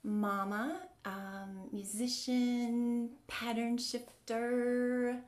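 A woman's voice: fairly high pitch, flat delivery, extremely slow, a little mellow, echoey room. An American woman from Wisconsin, a musician, casually introducing herself in a hesitant, giggly way. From northern Wisconsin, USA. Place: online event on video call.

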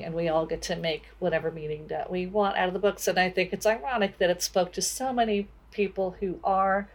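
Thoughtful woman, slightly low voice. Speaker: podcast co-host. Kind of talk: book discussion podcast, conversational.

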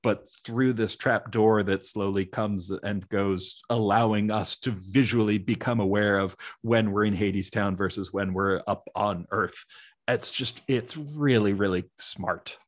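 A man with a low voice, co-hosting a podcast and chatting earnestly about musical theater. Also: fairly even intonation, a little slow, no room sound, clean studio sound. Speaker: podcast co-host.

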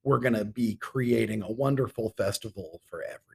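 A man's voice: lowish voice, a little fast, vocal fry, warm tone, slight background noise. A middle-aged man speaking as a theatre director being interviewed on a podcast, articulate and enthusiastic, with ornate turns of phrase.

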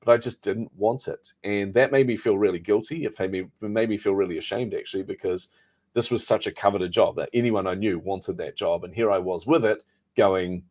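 A middle-aged man speaks with a New Zealand accent in a fairly low voice. He tells his story as an interview guest, chatty and self-deprecating. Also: a little fast, a little mellow. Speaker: podcast guest, marketing coach.

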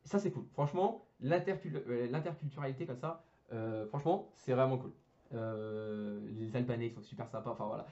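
A man's voice: mid-range voice, fairly quick, warm tone, highs cut off. A young man, an engineering student, chatting casually on a podcast, full of fillers, restarts and slang. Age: young adult.

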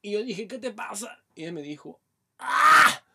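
A man from Brazil speaks with a Brazilian accent and a slightly high voice. He co-hosts a casual podcast, telling blunt, candid stories packed with local slang. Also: big pitch swings, moderate pace, creaky, sharp tone, breathy edge. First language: Portuguese. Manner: frank storytelling, heavy Chilean slang. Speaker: podcast co-host. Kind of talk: casual chat.

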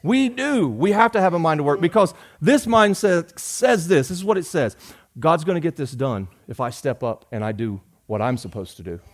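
Folksy pastor preaching. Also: male voice, fairly high pitch, expressive, normal speed. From Georgia. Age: 40s. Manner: folksy, joking, swings from loud to soft. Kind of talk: sermon.

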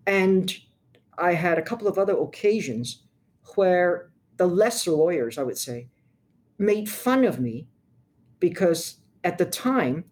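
An older woman from Hong Kong, a lawyer, answering interview questions in a measured, frank way with emphatic points. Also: on the low side, lively intonation, darkish tone.

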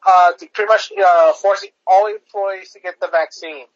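Man, high-pitched co-host, halting delivery with stacked fillers, dry lo-fi sound. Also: fairly even intonation, dry recording. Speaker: podcast co-host. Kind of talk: casual political chat.

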